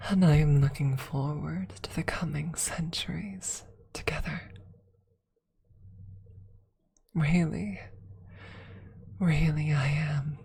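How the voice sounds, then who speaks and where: A woman playing an affectionate, teasing female vampire lover in an intimate ASMR roleplay, speaking softly and sliding into whispers, with a husky, breathy voice. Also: low-pitched, heavily acted. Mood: loving, solemn. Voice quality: soft, breathy, husky with creak. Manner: slow, tender, lightly teasing.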